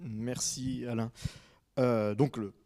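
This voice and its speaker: A man hosting a panel discussion, moderating in hesitant, measured phrases and handing over to guests. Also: mid-range voice, fairly even intonation, creaky, mellow. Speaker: host.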